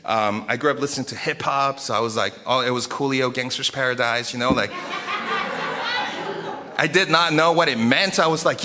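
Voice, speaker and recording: A man from Korea and South America preaching a casual storytelling sermon to a live congregation, animated, often restarting and repeating his phrases. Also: mid-range voice. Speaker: pastor preaching.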